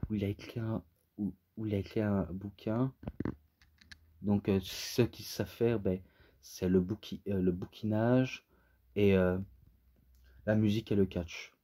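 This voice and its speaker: A man who is a native French speaker, giving a casual, unscripted review in a halting way, often searching for words. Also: fairly low pitch, dark tone. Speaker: amateur reviewer.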